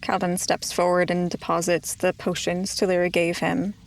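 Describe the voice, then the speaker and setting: A woman's voice: lowish voice, little pitch movement, normal speed, creaky, slight background noise, low-quality recording. A woman playing a tabletop roleplaying game, moving between in-character dialogue and casual table talk.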